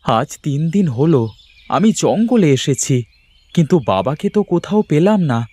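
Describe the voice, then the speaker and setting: A man's voice: a little high, sing-song, normal speed, dark tone, no room sound. A man voicing a brave, determined young son in a dramatic cartoon story, speaking earnestly and emphatically. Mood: worried, resolute. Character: brave, determined young son searching for his lost father.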